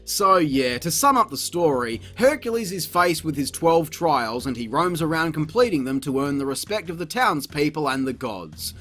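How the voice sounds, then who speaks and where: A man's voice: fairly high pitch, big pitch swings, moderate pace, few pauses, sharp tone, soft background music. A man narrating a comedic video review in a sarcastic, exasperated way, with frequent swearing.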